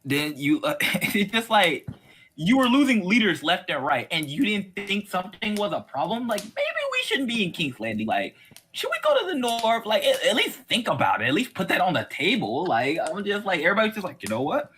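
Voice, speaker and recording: A man with a bright, fairly high voice hosts a casual fan discussion. His pitch swings widely as he argues in an exasperated way and acts out mocking quotes. There is faint noise on the recording. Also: wildly swooping pitch, a little fast. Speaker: host of a fan discussion series. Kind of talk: casual opinionated chat about a TV series.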